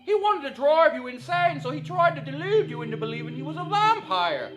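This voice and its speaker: A man's voice: very high voice, big pitch swings, brisk. A man playing a glib, condescending police sergeant in 1940s-style radio comedy. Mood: breezy, callous. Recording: music behind the voice.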